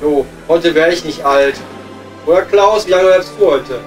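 A man's voice: on the high side, a little slow, faint music behind, close to an everyday voice, rough recording. A man playing a gossipy, mocking coal miner with a Ruhr accent in an improvised comedy audio play. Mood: gossipy banter. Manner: colloquial, grumbling, mocking.

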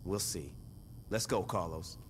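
An actor playing a hard, vengeful street gang leader in a video game, curt and commanding. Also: male voice, low voice, measured pace, a little hiss or hum. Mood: cool, defiant. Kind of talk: video game cutscene acting.

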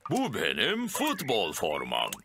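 A man's voice: fairly low pitch, wildly swooping pitch, takes it slowly, very noisy, full character voice. A man playing a jolly, boastful, easygoing father pig in a children's cartoon. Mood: good-natured.

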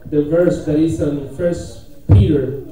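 A middle-aged man with a Spanish accent preaching in halting, simple English, pausing for an interpreter and putting questions to the congregation. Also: a little high, steady tone, music in the background. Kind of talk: interactive sermon with pauses for an interpreter. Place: church hall with congregation, handheld microphone through speakers. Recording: echoey room, distant camera pickup, congregation noise.

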